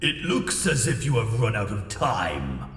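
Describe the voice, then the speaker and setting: A man's voice: fairly low pitch, very animated, a little slow, a bit bright, faint music behind. A man voicing a cruel, patient alien warlord in an audiobook, with menacing, gloating delivery and an echoing telepathic effect. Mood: smug. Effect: echoing telepathic-voice reverb. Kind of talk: dramatised audiobook villain. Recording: distant, long echoing reverb.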